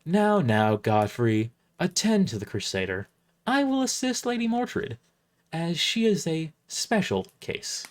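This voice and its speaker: A man's voice: a little high, very animated, measured pace, pitched above the performer's own voice. A man voicing a seasoned, kindly woman priest who speaks in a soothing, assured manner in an audio story. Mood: calm, reassuring.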